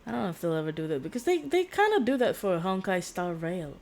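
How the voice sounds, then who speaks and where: A woman's voice: on the low side, sing-song, quick pace, darkish tone. A woman livestreaming a game with casual, giggly, rambling commentary. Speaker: streamer. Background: video game audio.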